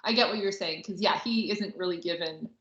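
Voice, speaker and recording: A woman's voice: medium pitch, fairly quick, fairly bright tone. A woman podcast co-host talking casually and informally, feeling her way through her thoughts with lots of filler words. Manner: informal, hesitant, heavy use of "like". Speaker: podcast co-host reviewing a film. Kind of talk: casual conversational discussion. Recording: roomy, echoey, slightly dull lo-fi audio.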